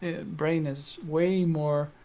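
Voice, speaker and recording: A man in casual conversation, mostly giving short agreeing replies and halting over his words. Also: fairly high pitch, little pitch movement, warm tone. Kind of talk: casual chat.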